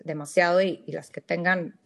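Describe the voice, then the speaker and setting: A woman's voice: lowish voice, fairly even intonation, normal speed, gravelly. A woman in her thirties from Mexico, with a northern Mexican accent, earnestly telling her personal story as a podcast guest. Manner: candid, earnest, with fillers and restarts.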